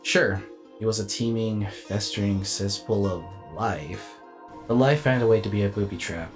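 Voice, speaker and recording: Man playing a low-voiced brooding robot warrior. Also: music in the background, natural voice. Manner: brooding, deliberate, formal. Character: weary, reflective male giant alien robot warrior. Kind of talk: dramatic scripted inner monologue.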